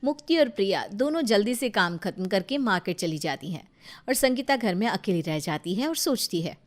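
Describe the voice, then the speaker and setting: A woman's voice: medium pitch, lively intonation, a little fast. A woman narrating a scripted moral story as its storyteller.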